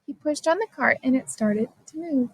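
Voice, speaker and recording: A woman reading a children's science story aloud as scripted narration, expressive and clearly phrased. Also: high-pitched, normal speed, dry recording, poor audio. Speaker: storybook reader.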